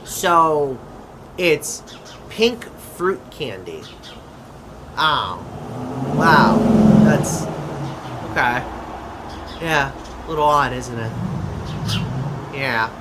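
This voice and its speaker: Over a noticeable bed of noise comes a man playing a chatty interdimensional adventurer. He talks slowly and hesitantly at a middling male pitch. The delivery is conversational audio drama dialogue. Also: lively intonation. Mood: casual, bemused.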